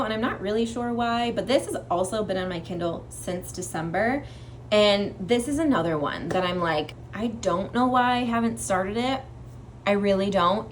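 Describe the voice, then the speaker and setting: Female book vlogger, mid-range voice, informal and rambling, chatting to viewers over faint background noise. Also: mid-range voice. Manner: informal, rambling, self-interrupting. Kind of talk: casual chatty vlog to camera.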